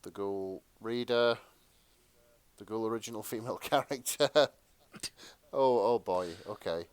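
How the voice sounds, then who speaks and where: Lowish-voiced man in casual podcast chat. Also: measured pace, clean tone, no echo. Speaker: podcast co-host.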